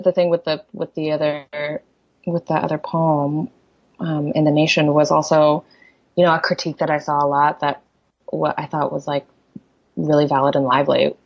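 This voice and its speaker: A woman's voice: sits low, creaky, muffled recording. A poet talking casually in an interview, her first language Korean, chatty and full of "like" fillers and restarts. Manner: chatty, many "like" fillers, restarts, laughs easily. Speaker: poet, guest. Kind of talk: casual conversation.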